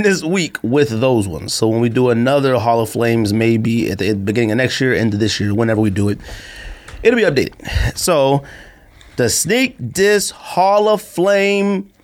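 A man with an everyday mid-pitched voice talks like a podcast host among friends. He teases and states his opinions freely, keeping the delivery casual and conversational. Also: lively intonation, measured pace. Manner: teasing, opinionated. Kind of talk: casual sneaker podcast banter.

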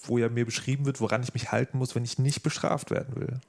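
A man hosting a casual podcast, thinking out loud in informal, slangy language. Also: mid-range voice, level tone, fast. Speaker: podcast host and interviewer.

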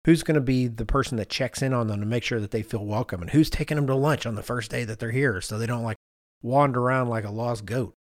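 A man in his fifties speaks as the host of a conversational podcast. His voice sits at a middling pitch, recorded dry with little room around it. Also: fast talker, dry recording. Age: 50s. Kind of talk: conversational discussion, reading out responses.

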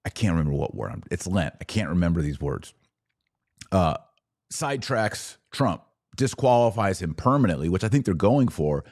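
A man with a low-pitched voice hosts a live commentary podcast. He speaks emphatically and deliberately, leaning on repeated phrases to press his points. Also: very animated, a little mellow. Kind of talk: live political and religious commentary.

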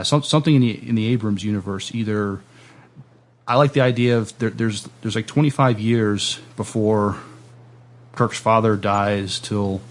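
A man with a lowish, gravelly voice hosts a casual interview. He phrases things tentatively, halting and picking his way through questions and thoughts. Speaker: podcast host interviewer.

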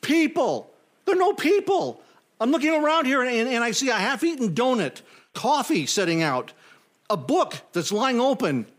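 An actor playing a nervous, imaginative office temp in a comedic audio drama, stammering and talking to himself. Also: male voice, very high voice, very animated, moderate pace, full character voice. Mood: flustered, alarmed. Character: jittery, imaginative male office temp, easily unnerved.

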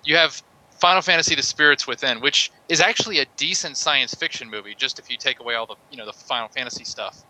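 A man on a podcast panel in a casual debate, ranting in an animated, mildly profane way. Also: medium pitch, fast talker, low-quality recording.

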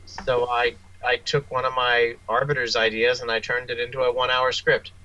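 A man speaking as an interview guest, a writer telling wry, self-deprecating anecdotes in a casual, animated way. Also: mid-range voice, moderate pace, lo-fi sound.

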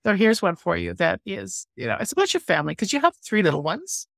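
A woman co-hosting an interview, asking questions in a lively, animated way. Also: lowish voice, quick pace, gravelly, dry recording, studio quality. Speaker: interview co-host. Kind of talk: hosting a lightning round.

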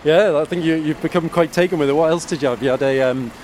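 A man's voice: fairly high pitch, quick pace, darkish tone, a little hiss or hum. A man from Newcastle co-hosting a casual whisky podcast, talking in a chatty, rambling way with plenty of fillers. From Newcastle, England. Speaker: podcast co-host.

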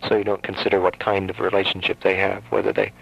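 A man hosting a late-night radio call-in show, musing slowly and hesitantly with many ums. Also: low-pitched, level tone, gravelly, fairly bright tone, husky. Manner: thoughtful, hesitant, lots of ums. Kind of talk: casual call-in radio chat. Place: radio studio. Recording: dull lo-fi radio broadcast.

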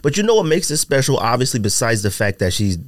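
A man hosting a casual podcast chat, telling stories with lots of fillers in an animated, drawn-out way. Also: mid-range voice, no room sound. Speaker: podcast host.

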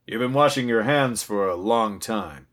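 A man's voice: on the low side, normal speed, fairly bright tone, lightly acted. A man voicing a protective, exasperated but caring father in a storybook, with a plain, firm parental delivery. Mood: casual calling.

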